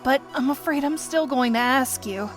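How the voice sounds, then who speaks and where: A young woman playing an excitable, earnest young detective in a video game fan dub, with expressive, peppy delivery. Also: high-pitched, moderate pace, soft background music, natural voice. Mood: apologetic, pleading.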